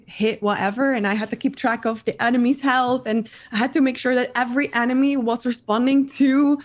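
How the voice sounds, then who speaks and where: A woman in her thirties from the Netherlands with a Dutch accent, chatting as an interview guest, lively and repeating her words. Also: fairly high pitch, fairly quick. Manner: animated, repeats words, laughs often. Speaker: guest streamer. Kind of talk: casual interview chat.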